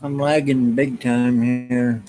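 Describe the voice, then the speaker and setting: A man joining a live video call, talking in a halting, hesitant way. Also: medium pitch, little pitch movement, warm tone. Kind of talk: casual chat.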